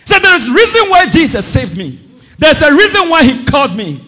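A man preaching a sermon as pastor, impassioned, swinging between shouted declarations and low asides. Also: huge pitch swings, moderate pace. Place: church service.

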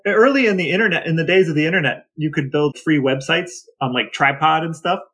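A man's voice: on the high side, fairly quick, pushed and bright, slightly rough. A middle-aged man co-hosting a podcast, telling stories casually and comically in a grumbling, exasperated way. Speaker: podcast co-host. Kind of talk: casual comedic storytelling.

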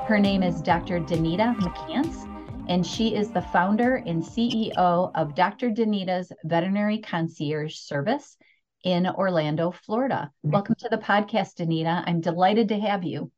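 Low-voiced woman podcast host. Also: moderate pace, a little mellow, dull audio, soft background music. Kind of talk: hosting an interview podcast.

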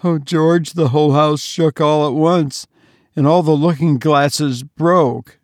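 A man's voice: on the high side, expressive, measured pace, gravelly, darkish tone, dry recording, clean studio sound, pitched above the performer's own voice. A man reading aloud and voicing a tearful, frightened middle-aged mother who sobs and pleads. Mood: sobbing plea. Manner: sobbing, pleading.

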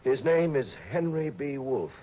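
An actor playing an anxious middle-aged stockbroker who is being blackmailed, fretful, pleading and refined, in a 1960s radio drama. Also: male voice, on the high side, measured pace, highs cut off. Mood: ashamed.

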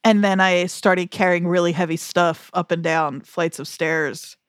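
A woman's voice: on the low side, fairly even intonation, unhurried, no room sound, studio quality. A woman in her thirties co-hosting a podcast, chatting casually and playfully, often laughing. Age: 30s. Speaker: podcast co-host. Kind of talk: casual chat.

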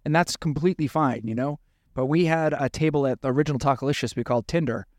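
A man's voice: fairly high pitch, fast, no echo, studio quality. A man from the United States, co-hosting a casual chat about bartending, easygoing and joking. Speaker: podcast co-host, bartender.

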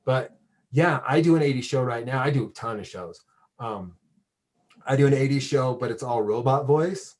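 A man's voice: medium pitch, normal speed, darkish tone. Informal, rambling American man. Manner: informal, rambling, self-interrupting. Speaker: podcast host and radio DJ. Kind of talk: casual chat interview.

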